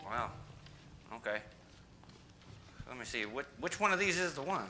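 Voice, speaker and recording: An actor playing a stubborn, self-important, bumbling husband in old-time radio comedy, blustering and defensive. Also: male voice, medium pitch, very animated, normal speed, bright, forceful tone, faint music behind. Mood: self-satisfied. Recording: noisy recording.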